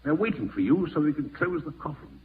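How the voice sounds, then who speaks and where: An actor playing a surly, resentful bully with a gruff, sarcastic way of talking, in 1940s radio drama style. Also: male voice, a little high, very animated, fairly quick. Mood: bitter. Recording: muffled, noisy old-time radio recording.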